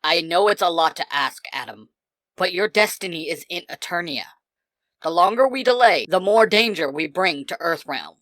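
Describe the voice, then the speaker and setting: A woman voicing a grave, weary older male warrior mentor in a fan fiction story, speaking in an earnest, measured way. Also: on the low side, animated, a bit bright, dry recording, pitched above the performer's own voice. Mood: urgent, reassuring. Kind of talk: dramatised fan fiction dialogue.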